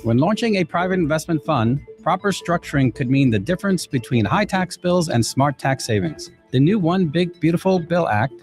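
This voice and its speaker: Male professional reading a scripted advisory spot, moderately high voice, music bed. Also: expressive, moderate pace, hardly any pauses, darkish tone. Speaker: accountant giving a sponsored tax tip. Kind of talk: scripted informational read.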